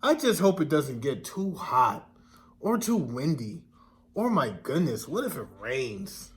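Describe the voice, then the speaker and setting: Anxious female hen for a storybook played by a man: high, animated, fretful, insistent. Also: a little slow. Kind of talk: children's storybook read-aloud.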